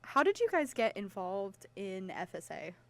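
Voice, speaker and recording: A woman's voice: medium pitch, big pitch swings, moderate pace, a bit bright. Young woman hosting student radio. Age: young adult. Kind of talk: casual radio interview.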